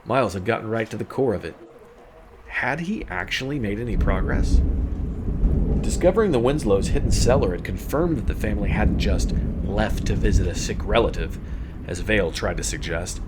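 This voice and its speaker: A man's voice: fairly low pitch, lively intonation, normal speed, heavy background noise. A man narrating a scripted audio story as its storyteller.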